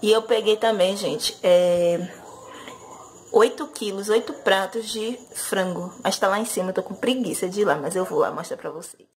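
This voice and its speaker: A woman's voice: medium pitch, normal speed, slight background noise, low-quality recording. A woman in her thirties from Brazil, chatting casually as she lists her monthly groceries in a home vlog, recorded on a handheld phone in her kitchen. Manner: chatty, listing items with fillers like "né". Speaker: vlogger. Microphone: handheld phone camera.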